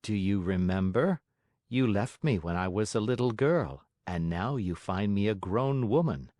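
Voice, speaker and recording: A man voicing a kindly, motherly fairy woman in a storybook, speaking in a gentle, measured, teasing way. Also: lowish voice, animated, no echo, studio quality. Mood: gently teasing. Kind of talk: audiobook character acting.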